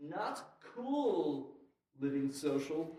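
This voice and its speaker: A man's voice: a little high, big pitch swings, drawn-out words, warm tone, far from the mic, exaggerated voice. A man playing an awkward, petty ex-boyfriend in a comedy sketch. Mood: jealous, indignant. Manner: hesitant small talk turning petty and indignant. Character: jealous, awkward, slighted ex-boyfriend, a personified coupon deal service. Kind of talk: comedy sketch in old-time radio variety show. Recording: very echoey room, off mic.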